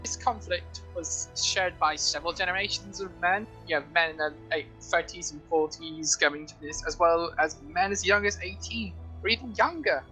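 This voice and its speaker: Male co-commentator, bright mid-pitched voice, chatty and a bit halting, faint music underneath. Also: low-quality recording. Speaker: game commentator. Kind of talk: casual chat over gameplay.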